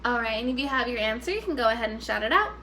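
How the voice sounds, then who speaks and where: A woman teacher reads to young children in an expressive, instructive way. The voice is fairly high and very bright, and there is faint noise in the recording. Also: racing. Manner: expressive, instructive, repeats questions for pupils. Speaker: first grade teacher. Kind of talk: children's read-aloud with teaching questions.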